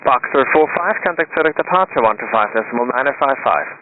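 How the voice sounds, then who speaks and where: Fast-talking male tower controller over radio. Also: mid-range voice, expressive. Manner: rapid, routine clearances read off in set phrases. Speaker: tower air traffic controller. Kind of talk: clipped radio phraseology. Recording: tinny radio transmission with faint hiss.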